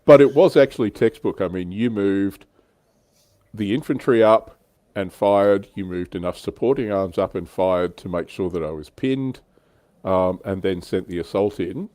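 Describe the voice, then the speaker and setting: Low pitched guy, close dry sound, casually talking thru his tabletop games, bit hesitant. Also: moderate pace, mellow, no echo. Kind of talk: casual chat, recounting tabletop wargame battles.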